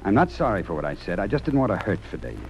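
A man's voice: lowish voice, huge pitch swings, rapid-fire, darkish tone, dull audio. An American man acting in an old-time radio drama, playing a stern, impassioned talent judge with earnest, theatrical speechifying. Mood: weary regret. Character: stern, impassioned male music talent judge, remorseful underneath. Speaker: actor. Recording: noisy old radio recording.

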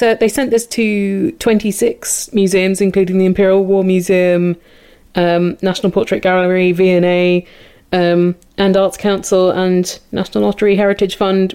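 Woman on a comedy panel, wry and casual, medium pitch. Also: dark tone, clear, resonant tone. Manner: wry, informal. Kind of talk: satirical comedy chat.

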